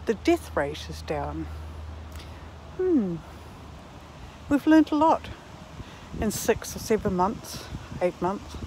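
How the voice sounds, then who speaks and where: A woman in her late sixties talks slowly and reflectively, musing aloud with halting pauses. She is vlogging on a walk outdoors, with faint ambient and vehicle noise behind her. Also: mid-range voice, very animated, dark tone, poor audio. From Netherlands and New Zealand. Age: 60s. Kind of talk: reflective walking vlog monologue. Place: outdoors, walking in a park.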